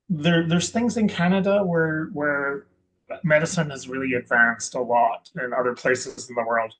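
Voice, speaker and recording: A man from Scotland, a guest investor chatting in an interview, rambling and correcting himself with many pauses and restarts. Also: on the high side, rough recording. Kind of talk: casual interview chat.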